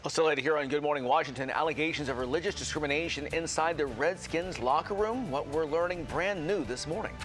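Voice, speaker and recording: Fast-talking male anchor with a lightish voice. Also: fairly high pitch, a bit bright, faint music behind, low-quality recording. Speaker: morning TV news anchor. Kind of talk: scripted broadcast news reading.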